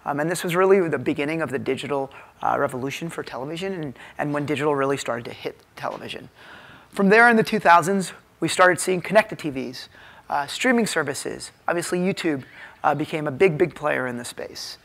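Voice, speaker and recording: A man's voice: fairly high pitch, animated, creaky, fairly bright tone. An American man in his thirties giving an informal conference keynote with frequent hesitations. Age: 30s. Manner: halting, filler-heavy, informal delivery. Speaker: keynote speaker, tech executive. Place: hotel conference ballroom stage, indoors. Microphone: lapel mic.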